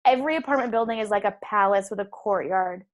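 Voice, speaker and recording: A woman's voice: mid-range voice, fast talker, dull audio. A woman guest on a podcast, telling stories casually with many "likes" and giggles. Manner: chatty, giggly, lots of "like". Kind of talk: casual storytelling chat. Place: alone at home.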